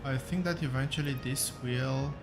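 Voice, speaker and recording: A man with a rough voice, thinking aloud as he hosts a relaxed gameplay commentary, with many fillers and pauses. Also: medium pitch, over music. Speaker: gaming channel host.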